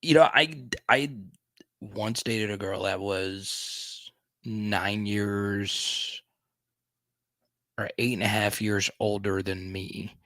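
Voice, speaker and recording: Guy in his 30s doing a laid back stream, slow talker, lowish voice, keeps laughing and stammering, dry sound. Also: fairly even intonation, a bit bright, dry recording. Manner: laughs often, stammering restarts, joking. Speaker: sports podcast host. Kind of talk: casual livestream chat reading viewer comments.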